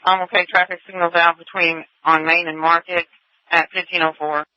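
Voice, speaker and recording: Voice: medium pitch, level tone, moderate pace, sharp tone, no echo, dull audio. An emergency dispatcher on two-way radio, reading back units and times in a clipped, matter-of-fact, formulaic way. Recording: band-limited emergency scanner radio.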